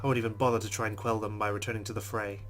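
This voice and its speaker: A man reading a visual novel aloud as a let's play host, in a plain, measured voice. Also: on the low side, level tone, fairly bright tone, soft background music.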